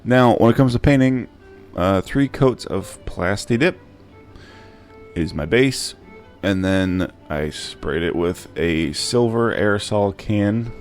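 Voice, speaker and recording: Low-voiced man casually explaining a craft build. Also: takes it slowly. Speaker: DIY prop maker and channel host. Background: music.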